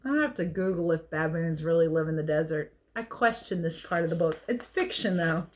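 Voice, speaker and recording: Woman, children's read-aloud teacher. Expressive storybook delivery mixed with casual, chatty asides. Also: low voice, brisk. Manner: expressive, chatty, explains as she goes. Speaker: teacher reading picture books aloud.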